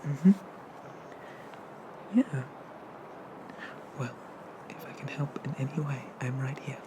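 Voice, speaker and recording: A performer playing a friendly, bashful monster barista in a soft, hesitant, gently chatty whispered ASMR roleplay. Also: mid-range voice, fairly even intonation, takes it slowly, noisy background, lightly acted. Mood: kind, helpful. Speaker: coffee shop barista.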